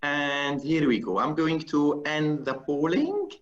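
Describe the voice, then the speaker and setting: A man hosting a webinar on a video call who runs an audience poll in a procedural way, pausing with fillers as he reads the results. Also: on the high side, sing-song, dull audio. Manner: procedural, pauses with fillers while reading the results. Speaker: webinar host. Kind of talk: moderating a live webinar poll.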